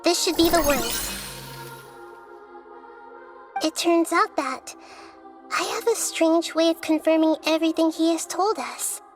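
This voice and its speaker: High-voiced, wise young girl sage. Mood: composed. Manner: measured, articulate, reflective. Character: small young wise female scholarly deity, calm, thoughtful, gentle. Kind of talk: video game voice acting. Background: faint background music.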